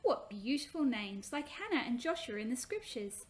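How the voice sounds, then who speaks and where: A woman voicing a gentle, earnest young mother-to-be from ancient times in a children's story, kindly and questioning. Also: a little high, sing-song, normal speed.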